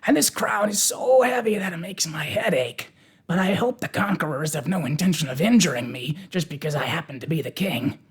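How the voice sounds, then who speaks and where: A reader as a calm, husky scarecrow king. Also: medium pitch, pitched above the performer's own voice. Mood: thoughtful. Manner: measured, musing, mildly pompous. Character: unflappable, kindly, kingly scarecrow man.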